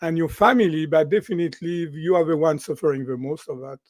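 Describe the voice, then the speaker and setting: French guy in his 60s, kinda high voice, animated interview guest, says right a lot. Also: fast, no room sound. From France. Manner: animated, tags sentences with "right?". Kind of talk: interview conversation.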